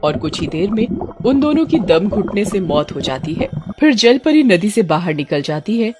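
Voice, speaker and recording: A woman's voice: medium pitch, sing-song, normal speed, very noisy. A woman giving scripted story narration for a cartoon.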